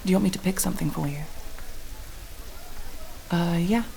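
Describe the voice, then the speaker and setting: A woman playing a gentle, affectionate alien crewmate in an ASMR roleplay, speaking softly and hesitantly as she patiently explains things. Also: on the low side, moderate pace, creaky, slight background noise. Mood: shy, tender. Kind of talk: ASMR roleplay audio drama.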